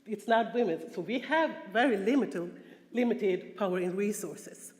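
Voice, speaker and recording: A woman from Sweden with a Swedish accent, giving an earnest, halting personal talk at a conference. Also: mid-range voice, a little mellow. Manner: earnest, halting, frequent restarts and fillers. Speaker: conference speaker. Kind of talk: personal testimony talk with slides. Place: large conference hall. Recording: echoey hall, long reverb.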